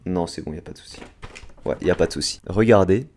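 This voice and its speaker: A young man hosts a casual vlog. His voice sits fairly low and has a soft, dark, relaxed tone. He talks informally and uses slang as he tells a personal story. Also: rapid-fire. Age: late 20s. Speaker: video creator and host. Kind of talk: casual anecdote to camera.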